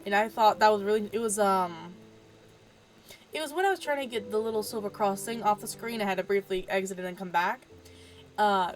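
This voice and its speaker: A woman's voice: mid-range voice, poor audio. A woman hosting a gaming video, stuffed up from allergies, chatting in a rambling way full of fillers and keeping her voice down so as not to wake anyone at home. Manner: talks quietly so as not to wake others. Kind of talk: casual let's-play commentary. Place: room at home at night. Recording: static from a faulty mic.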